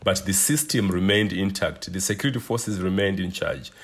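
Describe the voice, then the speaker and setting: A man speaking as an interview guest, giving political analysis in a measured, halting way with deliberate pauses. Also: sits low, slightly rough. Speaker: interview guest, human rights advocate.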